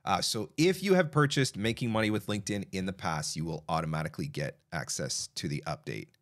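A man's voice: deep voice, expressive, a little fast. Casual man coaching from home studio. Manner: conversational, answers chat questions with frequent fillers and restarts. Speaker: voiceover coach hosting a live Q&A. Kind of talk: casual advice livestream. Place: small home studio, indoors. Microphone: broadcast mic on arm, close.

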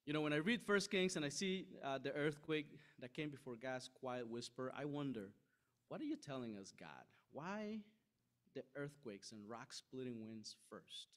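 Young male preacher, conversational and animated, acts out quotes; church hall, podium mic. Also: mid-range voice, normal speed. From Costa Rica. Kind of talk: sermon. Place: large church hall with stage.

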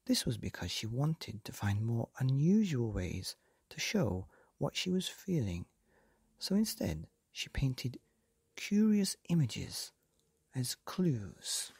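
A man hosting a bedtime story podcast, reading aloud in a soft, breathy half-whisper that lilts gently as he goes. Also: medium pitch, no echo, clean studio sound. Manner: slow, gentle and lilting.

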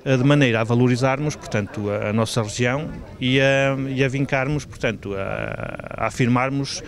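A man, speaking hesitantly in an outdoor interview as an association president. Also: medium pitch. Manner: hesitant, halting delivery. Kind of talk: on-site TV interview statement. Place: outdoors in a village square. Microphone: handheld interview microphone. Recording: busy crowd chatter behind.

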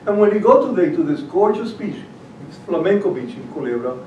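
A man's voice: high voice, sing-song, brisk, slight background noise. An older man with a Puerto Rican accent giving a public talk, telling stories with many fillers and restarts. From Puerto Rico. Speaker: guest speaker. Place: library meeting room. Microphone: far camera. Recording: roomy, distant, dull audio.

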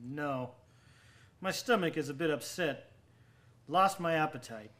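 An actor playing an obsessed, brooding young madman with murderous intent, narrating a gothic radio drama in a deliberate, theatrical way. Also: on the high side, a bit bright. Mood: guarded.

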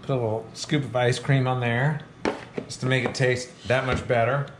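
A man's voice: mid-range voice, little pitch movement, normal speed, noisy background. A middle-aged man hosting a home cooking show, talking upbeat and chatty straight to the camera as he demonstrates a recipe in a large home kitchen. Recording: echoey kitchen, soft background music.

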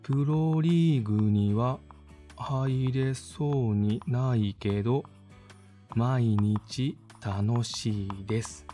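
A man's voice: mid-range voice, faint music behind. A young man playing a laid-back, sincere university student in a voice drama monologue, musing as he writes. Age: young adult. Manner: unhurried, musing, mellow. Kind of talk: voice drama monologue, thinking aloud while writing.

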